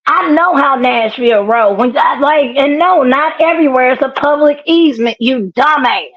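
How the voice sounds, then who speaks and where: A woman's voice: high-pitched, moderate pace. A woman caller, blunt and scolding, swearing heavily in casual, heated talk over dull call audio. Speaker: guest caller.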